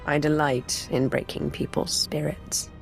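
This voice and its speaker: A woman's voice: low-pitched, level tone, unhurried, soft background music. An actress playing a ruthless, cold Sith warrior woman in a video game, declaring threats with menace. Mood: gloating menace. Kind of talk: video game cutscene acting.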